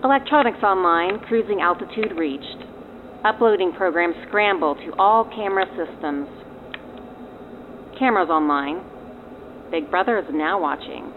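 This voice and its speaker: An actress playing a brisk surveillance aircraft systems operator, giving matter-of-fact procedural reports over the radio. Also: female voice, on the low side, lively intonation. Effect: radio filter. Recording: noisy tinny aircraft radio.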